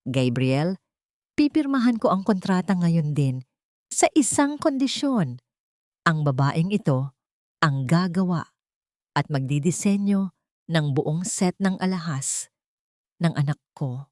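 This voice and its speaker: A woman's voice: on the low side, sing-song, slow, long pauses, a little mellow, dry recording, studio quality. A woman voicing a stern, wealthy older matriarch with a formal, commanding manner in an audio drama. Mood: imperious.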